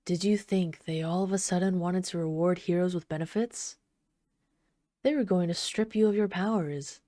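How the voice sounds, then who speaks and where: A woman playing an obsessive, sweetly menacing supervillain in an ASMR roleplay, soft-spoken, doting, possessive and taunting. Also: fairly low pitch, normal speed, no room sound, clean studio sound, lightly acted. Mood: smug, scornful. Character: obsessive yandere female supervillain, sweetly menacing, adoring her captive.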